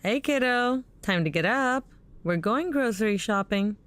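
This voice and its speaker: A woman's voice: a little high, expressive, unhurried, fairly bright tone, clean tone. An actress playing a protective, weary but affectionate young mother in an audio drama.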